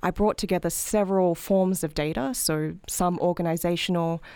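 Articulate Australian woman researcher, low-ish. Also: steady tone, moderate pace, warm tone, clear, resonant tone, no room sound, studio quality. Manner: articulate, explanatory, frequent "sort of". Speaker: guest, healthcare management researcher. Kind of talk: interview answers.

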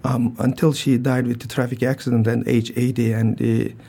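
An older man with a Turkish accent, a transplant surgeon answering interview questions in a halting way, with many fillers, restarts and throat clearing. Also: mid-range voice, level tone, dark tone. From Turkey.

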